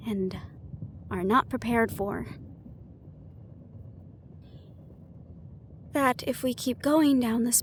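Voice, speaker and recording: Woman playing a high-voiced, confiding half-banshee heroine. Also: slight background noise, lightly acted. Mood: worried, confiding. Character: young female half-banshee siren, worried, wry, secretly vulnerable. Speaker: audio roleplay voice actor. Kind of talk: intimate scripted audio roleplay. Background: background music.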